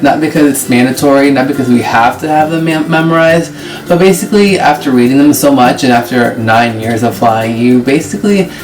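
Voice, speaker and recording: A man in his late twenties answering viewer questions in a casual vlog, chatty and explaining things step by step. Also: mid-range voice. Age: 20s. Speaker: vlogger and flight attendant. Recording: distant mic with loud music behind. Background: music.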